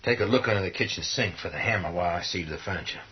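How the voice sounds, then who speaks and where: An actor playing a gruff, resolute middle-aged working man in a horror radio play, speaking in a folksy, commanding way. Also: deep voice, rapid-fire. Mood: urgent, commanding. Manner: folksy colloquial drawl, commanding, rough-spoken. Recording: dull, noisy lo-fi audio.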